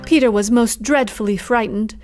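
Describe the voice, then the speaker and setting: A woman storyteller narrating a scripted children's tale in a measured way suited to young listeners. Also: on the high side, loud music behind the voice.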